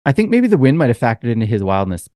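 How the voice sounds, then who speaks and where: A man's voice: mid-range voice, sing-song, fast, dark tone, dry recording, studio quality. A man co-hosting a podcast, telling a long, rambling story in casual chat with lots of fillers. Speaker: podcast co-host.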